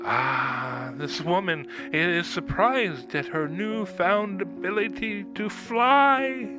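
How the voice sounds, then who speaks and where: Male actor as wondering dream watcher, very high voice, unhurried radio-play delivery, music underneath. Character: wondering onlooker describing sleepers' dreams. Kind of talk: radio drama narration.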